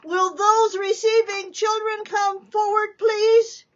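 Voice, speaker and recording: A woman voicing a commanding nun who makes loud, formal announcements to a crowd in a dramatic storybook reading. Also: extremely high pitch, little pitch movement, takes it slowly, highs cut off, pitched above the performer's own voice.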